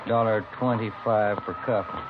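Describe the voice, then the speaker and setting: An actor playing an easygoing police detective partner with an American accent, dry and plainspoken, in 1950s radio drama. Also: male voice, lowish voice, fairly even intonation, normal speed, natural voice. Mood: wry, relaxed. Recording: muffled, noisy vintage radio recording.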